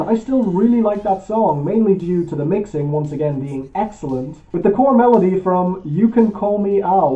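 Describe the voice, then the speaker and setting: Mellow-voiced man hosting an album review. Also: high voice, lo-fi sound. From Belgium. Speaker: music album reviewer, show host. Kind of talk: scripted review voice-over.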